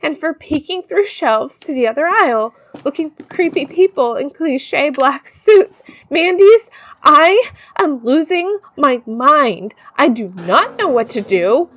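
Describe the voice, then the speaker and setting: A woman's voice: sits high, lively intonation, a little slow, fairly bright tone, slight background noise. A woman playing a frantic, chatty young woman on the run in an audio drama, with a rambling, confiding manner. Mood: panicked, frantic. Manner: rambling, informal, breathless asides to listeners. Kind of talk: first-person audio drama, confiding podcast monologue.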